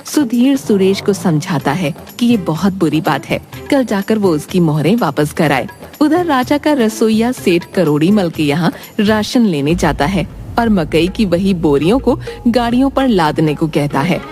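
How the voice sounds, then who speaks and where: A woman's voice: medium pitch, animated, a little slow, a little mellow. A woman narrating a scripted children's story. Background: faint music.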